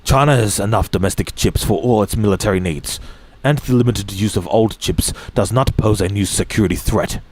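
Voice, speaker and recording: A man with a somewhat low voice reads articles aloud for his stream. He stumbles, restarts phrases and clears his throat often. Now and then he drops a dry, offhand comment between quotes. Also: fairly quick, few pauses, darkish tone. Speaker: streamer reading news aloud. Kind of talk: reading articles aloud with asides.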